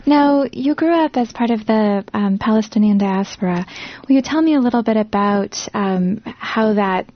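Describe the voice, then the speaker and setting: A woman hosting a radio interview, asking measured, thoughtful questions with a few fillers. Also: mid-range voice, muffled recording. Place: radio studio.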